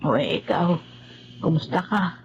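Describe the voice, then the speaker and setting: A woman's voice: on the low side, lively intonation, unhurried, muffled recording, soft background music. A woman playing a caring, fretful older motherly relative in a Filipino radio drama.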